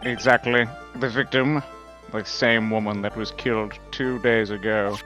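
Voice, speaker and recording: Man as young male prosecutor, low voice, smug, formal, declamatory, game dub, music clearly behind. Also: steady tone, a little slow, close to an everyday voice. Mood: confident. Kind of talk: live fan dub of a visual novel game.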